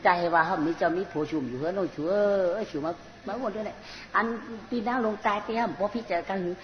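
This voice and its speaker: A storyteller telling a comic folk tale in an animated way, often repeating short phrases. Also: mid-range voice, quick pace. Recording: muffled, noisy recording in a reverberant space.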